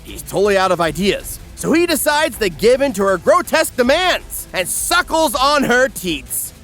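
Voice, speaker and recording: A man's voice: extremely high pitch, sing-song, normal speed, fairly bright tone. A man narrating a scripted comedic recap in a theatrical, crude, sardonic way. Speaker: narrator and host. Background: background music.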